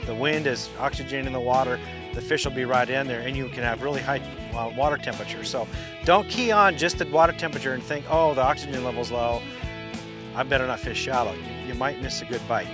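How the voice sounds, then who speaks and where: A man's voice: medium pitch, fast. A middle-aged man giving practical fishing tips outdoors on a boat by a lake, explaining step by step with frequent restarts. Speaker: fishing instructor. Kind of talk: outdoor instructional talk. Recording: music bed under the voice. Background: guitar music.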